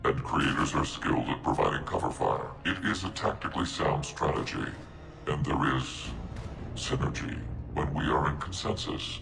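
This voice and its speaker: A man playing a logical machine intelligence in a video game, speaking in a measured, formal, emotionless way. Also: rumbling low, very animated, gravelly, roomy sound, exaggerated voice. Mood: calm, resolute. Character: calm, logical male-voiced machine intelligence soldier. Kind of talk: video game dramatic acting. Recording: game dialogue over music.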